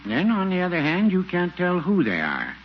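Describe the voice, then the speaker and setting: An actor playing a mild, gently contrary small-town druggist with a dry, deadpan manner in a 1940s radio comedy. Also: male voice, high-pitched, moderate pace. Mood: dryly confiding. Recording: dull, noisy 1940s radio recording.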